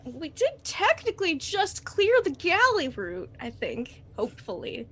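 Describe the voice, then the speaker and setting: A woman's voice: very high-pitched, wildly swooping pitch, a little slow, a bit bright. A woman playing a tabletop game, chatting in a casual, playful, jokey way.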